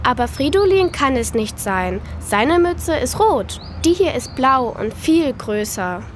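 A woman's voice: high-pitched, big pitch swings, slow, a little hiss or hum, full character voice. A female performer playing an earnest young girl in a children's audio drama, thinking aloud in a childlike, simple way. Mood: searching, puzzled. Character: young girl, earnest, wistful, attached to her toy.

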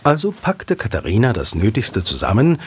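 A man narrating a scripted audio drama as a dramatic, expressive storyteller. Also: fairly low pitch, normal speed, slightly rough. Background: background music.

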